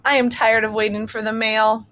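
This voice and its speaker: A woman's voice: a little high, flat delivery, moderate pace, a bit bright, voice pushed up, close to an everyday voice. Woman reading as a glum storybook toad. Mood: resigned, dismissive. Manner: glum, resigned, plaintive. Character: sad, gloomy male toad, resigned storybook friend.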